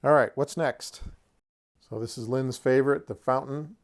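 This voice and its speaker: A man's voice: medium pitch, steady tone, normal speed. A man hosting a casual tabletop product review, chatty and folksy, with lightly humorous asides. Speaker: host of a tabletop wargaming terrain review. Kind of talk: casual product showcase commentary.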